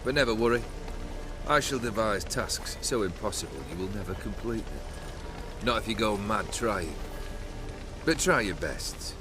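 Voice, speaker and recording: A man's voice: lowish voice, lightly acted. An actor playing a proud, world-weary immortal nobleman in a video game, sardonic and theatrical with formal old-fashioned phrasing. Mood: scheming, assured.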